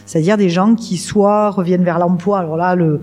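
A woman's voice: lowish voice, fairly even intonation, a little fast, warm tone, faint music behind. A middle-aged woman, director of a work-integration garden, explaining its work in a radio interview with frequent hesitations and restarts. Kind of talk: radio interview guest explaining her organisation.

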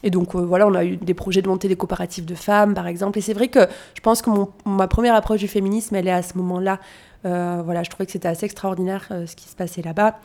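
A woman's voice: medium pitch, level tone, quick pace, a little mellow. A woman in her thirties from France, a doula, talking casually in an interview, hesitant with many fillers and restarts, recorded in a small family café. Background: family chatter in the café.

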